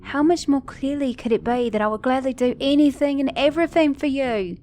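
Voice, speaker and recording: A woman's voice: high voice, a little fast, warm tone, natural voice. A woman with an Australian or British accent playing a sassy, caring mechanic girlfriend in an ASMR roleplay, speaking emphatically and affectionately to a partner. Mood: tender, earnest. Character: sassy, caring female mechanic girlfriend, scolding then tender. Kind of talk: ASMR roleplay audio drama.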